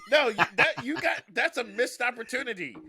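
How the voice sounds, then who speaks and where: A man's voice: extremely high pitch, lively intonation, brisk, a crowd in the background. A man chatting casually as a comedy podcast host, often repeating himself as he builds a point, with profane slang. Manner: stammering repetition, profane slang.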